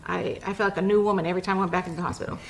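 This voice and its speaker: Gravelly-voiced mother, pausing as she speaks. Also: lowish voice, steady tone, slight background noise. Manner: pausing, with ums and restarts. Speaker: mother sharing testimony. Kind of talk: personal testimonial interview.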